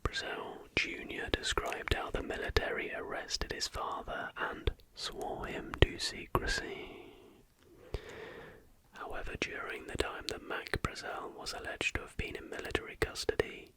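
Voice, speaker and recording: A man reading a factual script as ASMR in a soft, breathy, deliberate whisper, close to the microphone. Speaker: ASMR host. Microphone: close recording microphone.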